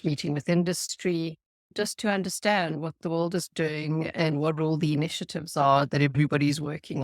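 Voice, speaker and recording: A woman from South Africa with a South African accent, a guest on a podcast, explaining her work in an earnest, measured way. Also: low-pitched, no echo, clean studio sound. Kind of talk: podcast interview.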